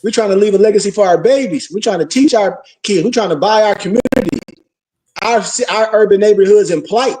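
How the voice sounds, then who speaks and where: A man's voice: high-pitched, fairly quick, a little mellow, distant mic. A man giving an informal religious lecture, preachy, full of rhetorical questions and call-and-response. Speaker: religious teacher.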